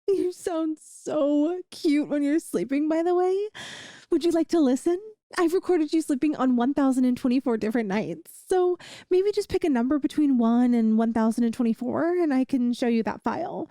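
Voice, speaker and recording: A woman's voice: sits high, moderate pace, warm tone, no echo, clean studio sound. A woman playing an adorkable, possessive young yandere girlfriend in a comedic ASMR audio roleplay, rambling on in a sweetly earnest, doting way. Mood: doting, giddy.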